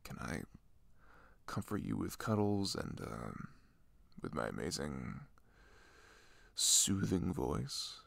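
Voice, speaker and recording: A man playing a shy, sweet young best friend in an ASMR roleplay, talking gently and hesitantly, close to the mic. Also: low-pitched, very animated, slow, vocal fry, long pauses, dry recording, exaggerated voice. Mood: bashful, caring. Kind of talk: ASMR roleplay, soft intimate comforting talk. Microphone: close microphone.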